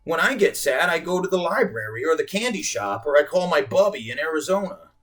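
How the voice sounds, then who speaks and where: A man voicing a timid, kind young boy who stammers nervously and speaks gently, in a read-aloud storybook. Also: fairly high pitch, big pitch swings, brisk, voice pushed up.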